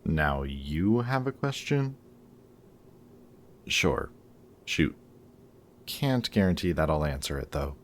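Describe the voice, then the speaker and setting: A man's voice: very deep voice, big pitch swings, takes it slowly, pauses often, a little hiss or hum, exaggerated voice. A man acting a sarcastic, guarded villain in an audio roleplay, with a snarky, casual delivery that grudgingly shows care. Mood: dry, exasperated. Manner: snarky, dry, casual teasing.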